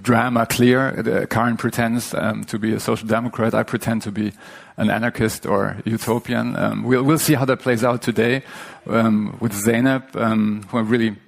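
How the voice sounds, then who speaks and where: A man's voice: lowish voice, level tone, normal speed, vocal fry. A man co-hosting a live podcast on stage, hesitant, with many fillers and restarts. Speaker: podcast co-host. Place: stage before a live audience.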